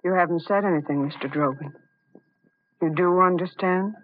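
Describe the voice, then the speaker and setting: An actress playing a composed, clear-headed doctor's wife in an old-time radio drama. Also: female voice, on the low side, normal speed, a little hiss or hum, lightly acted. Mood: firm, concerned. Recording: tinny, narrow vintage radio recording.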